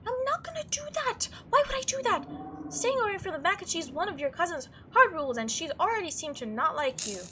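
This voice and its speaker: High bright girly gamer lady, super animated, reads game text n thinks out loud, bit of background noise. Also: fairly quick. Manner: chatty, reactive, thinking aloud. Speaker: let's play host. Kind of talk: gaming commentary mixed with reading visual novel text aloud.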